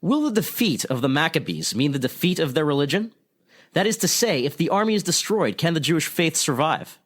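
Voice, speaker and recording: A man's voice: a little high, big pitch swings, a little fast. A man playing an earnest, probing news reporter, formal and pointed, in old-time radio style.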